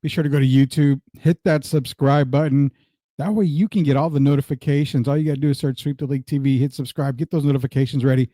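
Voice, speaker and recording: A man's voice: mid-range voice, fairly even intonation, quick pace, mellow, no room sound, studio quality. An American man hosting a podcast, chatting through promotional patter and introducing his guest in an upbeat interview style. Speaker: podcast host.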